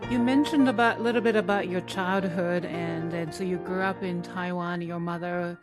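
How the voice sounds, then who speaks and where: A woman hosting a podcast interview, speaking conversationally in halting, gently probing phrases. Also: on the low side, fairly even intonation, over loud music.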